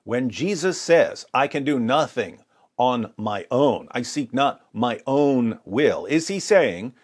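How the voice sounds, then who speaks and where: A man's voice: medium pitch, animated, slow. A man giving polemical religious commentary with scripture readings, in an emphatic, sarcastic and theatrical way.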